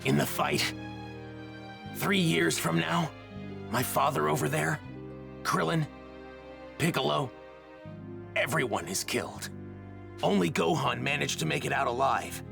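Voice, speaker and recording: Young male warrior from a ruined future, anime game dub: grave, polite, very creaky, clear background score. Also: fairly high pitch, music in the background. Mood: somber. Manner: solemn, deliberate, polite.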